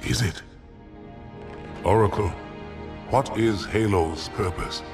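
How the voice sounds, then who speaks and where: A man's voice: on the low side, slow pace, loud music behind the voice. A man voicing a proud, grave alien warrior in a video game, speaking in a solemn, formal and commanding way. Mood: challenging. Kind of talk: dramatic video game acting.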